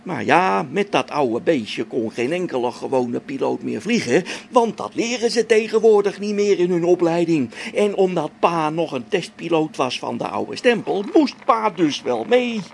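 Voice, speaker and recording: A man's voice: fairly high pitch, lively intonation, moderate pace, gravelly, few pauses, a little hiss or hum. An actor playing a grumbling old-school test pilot and father talking to himself in a spaceship radio drama. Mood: explaining wryly. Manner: muttering to himself, grumbling, chuckling.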